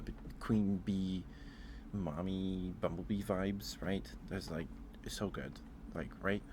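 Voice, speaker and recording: A man streaming casual ASMR chat, talking haltingly, often repeating words and trailing off. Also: low-pitched, background noise. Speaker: streamer hosting a ranking.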